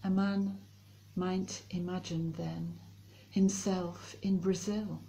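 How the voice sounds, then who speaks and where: A woman recites poetry very slowly in a fairly low, mellow voice. Her delivery is measured, giving each short phrase its own space. There is a faint ambient noise behind her. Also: flat delivery, gravelly, low-quality recording. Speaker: poetry reader.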